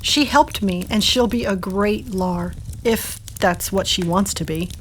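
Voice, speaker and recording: A woman's voice: medium pitch, measured pace, fairly bright tone, slight background noise, close to an everyday voice, rough recording. A woman playing an earnest, reflective woman searching for answers in an audio drama. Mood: reflective, assured.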